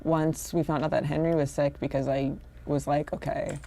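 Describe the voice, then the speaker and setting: A woman's voice: low voice, level tone, normal speed, mellow. A woman in casual, reflective conversation, rambling and slipping into quoted asides as she explains herself.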